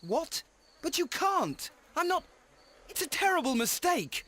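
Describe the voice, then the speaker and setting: A man's voice: extremely high pitch, sing-song, measured pace, pushed and bright, heavily acted. An actor playing a nervous young male suspect in a video game, pleading in a hesitant, stammering way. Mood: panicked pleading. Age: young adult. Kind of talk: scripted video game acting.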